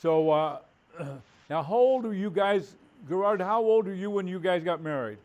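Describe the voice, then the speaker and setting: Male interview host, fairly high voice, friendly short prompts. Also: animated, moderate pace, narrow, tinny audio. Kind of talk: video call interview.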